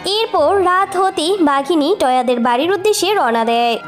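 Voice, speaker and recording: A woman's voice: very high-pitched, lively intonation, moderate pace, music in the background. A woman giving scripted narration as the storyteller of a cartoon fairy tale.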